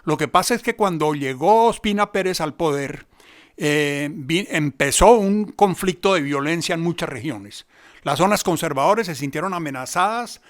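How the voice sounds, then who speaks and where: A man's voice: on the high side, a bit bright. A Colombian man talking as an expert in an interview, explaining in a discursive, emphatic storytelling way. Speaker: expert commentator, theatre researcher.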